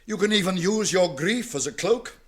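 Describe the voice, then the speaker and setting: An actor playing a wise, stern old wizard in British fantasy radio drama, with measured, weighty, archaic speech. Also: male voice, high voice, expressive, breathy edge. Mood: stern, rebuking.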